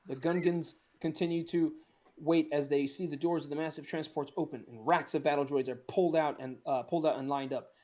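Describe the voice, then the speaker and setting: A man's voice: on the high side, a little mellow. A man reading out script stage directions as narrator, casually and with some stumbles. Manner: halting, stumbles over the script. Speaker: narrator of a script table read.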